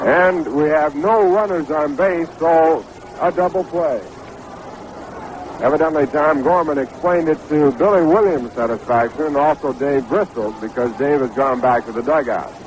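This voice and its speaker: A man's voice: a little high, moderate pace, darkish tone. An American man doing 1960s radio baseball play-by-play as the announcer. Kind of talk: 1960s radio sports commentary. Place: stadium broadcast booth. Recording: noisy 1960s AM radio broadcast.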